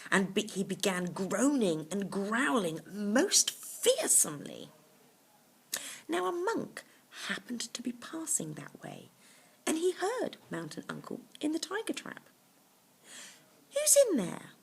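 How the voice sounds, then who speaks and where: A woman reading a bedtime story to children, pausing to explain things simply in little asides. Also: medium pitch, very animated, vocal fry, breathy edge. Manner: explains words simply to young listeners.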